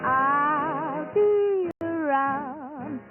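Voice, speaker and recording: A woman's voice: sits high, extremely slow. A woman singing a 1940s radio ballad over musical accompaniment. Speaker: singer. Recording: narrow vintage radio, music behind.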